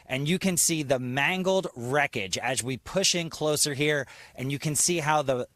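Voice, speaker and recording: A male transportation reporter describes a scene live for the news. His voice is fairly high and comes through dry, without room sound. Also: fairly bright tone, no echo.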